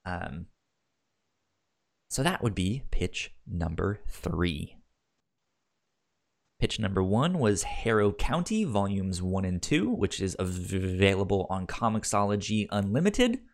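A man co-hosting a podcast in casual chat, halting and often repeating the starts of his words. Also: sits low, very animated, vocal fry. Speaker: podcast co-host.

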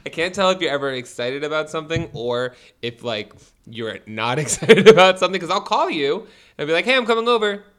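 A man's voice: fairly high pitch, lively intonation, fairly quick, a bit bright. A man co-hosting a casual podcast, teasing his friend and laughing often. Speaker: podcast co-host. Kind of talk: casual chat.

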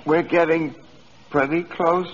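A naive, deferential old angel. He is husky and very slow, with a highish swooping pitch, in forties radio style on dull old audio. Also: steady tone. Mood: wondering, anxious. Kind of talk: 1940s radio drama acting. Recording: muffled old radio broadcast.

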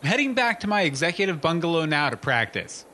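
A man's voice: fairly high pitch, very animated, quick pace, pushed and bright. A man playing a vain, bitter anchorman with a pompous, sarcastic manner in an audio comedy drama. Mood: breezy. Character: vain, bitter, disgraced fake news anchorman.

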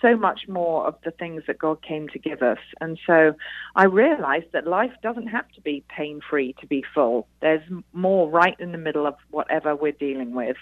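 A woman's voice: deep voice, lively intonation, normal speed, no room sound. A British woman, middle-aged, answering radio interview questions over the telephone in an earnest, explanatory way. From Britain. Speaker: interview guest, author. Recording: muffled, band-limited phone line.

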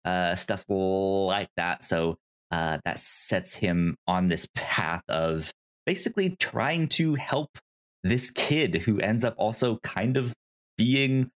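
A man co-hosting a podcast in a casual movie discussion, speaking haltingly and stammering over repeated false starts. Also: sits low, lively intonation. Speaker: podcast co-host.

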